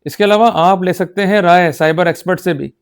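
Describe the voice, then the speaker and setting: A man's voice: high-pitched, fairly quick, clean tone. A man with an Indian accent giving an instructional law lecture, emphatic and exhorting, often checking that listeners follow. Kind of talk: instructional law lecture in Hindi-English mix.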